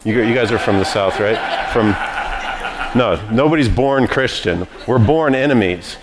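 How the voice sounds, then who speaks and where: A man's voice: on the low side, a little slow, noisy background. A man preaching a sermon as pastor, teaching in a conversational way with frequent restarts.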